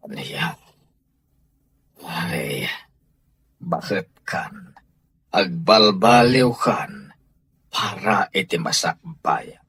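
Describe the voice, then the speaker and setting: A man's voice: low voice, animated, very slow, pauses often, a bit bright, breathy edge, full character voice. A man with an Ilocano accent playing a firm, sanctimonious husband and head of household in a radio drama. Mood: preachy.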